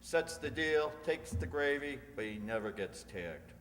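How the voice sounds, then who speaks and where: A seasoned male narcotics inspector played by a man in a radio crime play. His voice is mid-pitched and knowing, short on words, with long hall reverb. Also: lively intonation, unhurried, a bit bright. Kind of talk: 1950s crime radio drama re-enactment.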